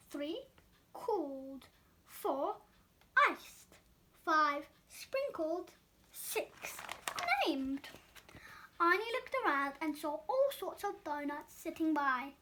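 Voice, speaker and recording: Very high, bright female storyteller. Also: expressive, drawn-out words, pauses often, slight background noise. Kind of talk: expressive children's storybook read-aloud.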